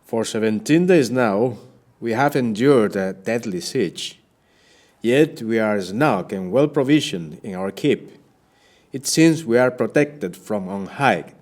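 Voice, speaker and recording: A man playing a proud, weary Spanish sea captain in an audio play, speaking formal verse in a measured, rhetorical way. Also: lowish voice, lively intonation, darkish tone. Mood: steadfast.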